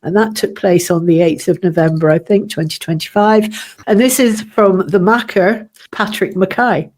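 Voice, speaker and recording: A woman co-host opens the episode with relaxed, friendly chat. Her voice sits at an ordinary middle pitch. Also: moderate pace. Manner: friendly, easygoing introduction. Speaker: podcast co-host. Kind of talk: casual podcast chat.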